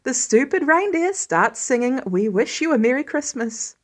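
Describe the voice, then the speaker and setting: A woman reading fan fiction aloud as an expressive audiobook-style narrator. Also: high-pitched, moderate pace. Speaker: podfic reader.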